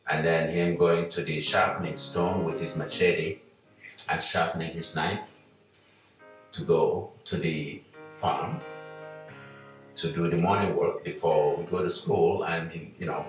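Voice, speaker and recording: An older man from Nigeria, a guest on an interview show, telling his life story slowly with many pauses and fillers. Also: sits low, fairly bright tone, heavy reverb, distant mic, low-quality recording. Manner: slow, hesitant with many fillers. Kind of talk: relaxed reflective storytelling.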